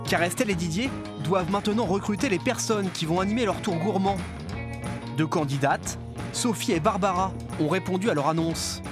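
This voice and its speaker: A man's voice: sits high, brisk, over music. A man reading scripted reportage narration as the voice-over of a documentary.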